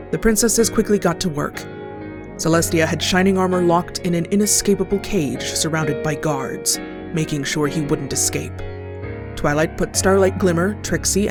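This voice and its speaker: A woman narrating a scripted horror story as a storyteller. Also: on the low side. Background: clear background music.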